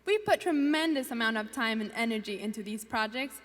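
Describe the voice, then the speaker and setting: A woman from Ecuador giving a rehearsed student presentation with careful, even recitation. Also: on the high side, fairly quick, long reverb tail.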